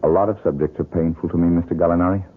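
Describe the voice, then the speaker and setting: A man's voice: sits low, steady tone, very fast. An actor playing a bitter, guarded, world-weary male painter in a radio drama, speaking in terse, sardonic lines. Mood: wary. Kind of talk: old-time radio drama acting. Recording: muffled vintage radio drama recording.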